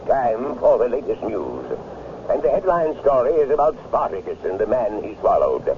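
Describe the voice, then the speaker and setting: Actor as a dramatic newsreader, dull vintage radio. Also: male voice, mid-range voice, big pitch swings, normal speed, close to an everyday voice. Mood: announcing. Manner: declamatory, formal. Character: dramatic, self-important male radio newsreader. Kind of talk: 1970s radio acting, news bulletin. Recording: dull, slightly noisy 1970s radio recording.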